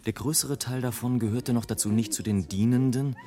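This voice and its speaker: An actor playing a scornful airship traveller in a radio play, delivering a sardonic, declamatory monologue as a bitter satirist of mankind. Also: male voice, medium pitch, fairly even intonation, brisk, warm tone, close to an everyday voice. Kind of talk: literary radio play monologue, late 1980s. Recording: music behind the voice. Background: music.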